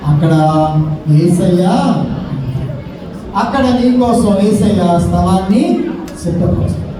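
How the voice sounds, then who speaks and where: High-voiced man preaching in an echoey hall. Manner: exhortative, speaking in short phrases with rhetorical questions. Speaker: children's gospel preacher. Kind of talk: children's sermon and led prayer. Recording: echoey hall, distant mic, noisy crowd.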